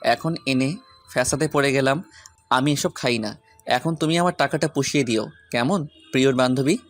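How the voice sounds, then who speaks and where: A man's voice: medium pitch, moderate pace, no room sound, soft background music, natural voice. A man playing a stern, brooding young romantic lead in an audio story. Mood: stern, teasing.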